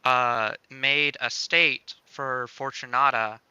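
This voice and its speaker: A man with a very bright, crisp voice walks through a piece of software in a casual, chatty way. He takes his time, explaining each step as he thinks aloud, and the sound is close and dry. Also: medium pitch, fairly even intonation, takes it slowly, no echo, lo-fi sound. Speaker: game developer demonstrating a tool. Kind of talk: casual software demo with joking chat.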